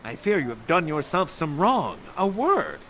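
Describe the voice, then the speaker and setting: A stern, scheming magician father, acted by a man, commands in imperious Shakespearean style. The delivery swings widely in pitch, with faint background noise. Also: huge pitch swings, a little slow, exaggerated voice. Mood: imperious, accusing. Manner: imperious, declaiming. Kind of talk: improvised Shakespearean stage acting.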